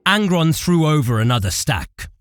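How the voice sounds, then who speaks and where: A man's voice: a little high, very animated, normal speed, a bit bright, no room sound, clean studio sound. A man giving a dramatic, scripted narration of a fantasy war story as its narrator.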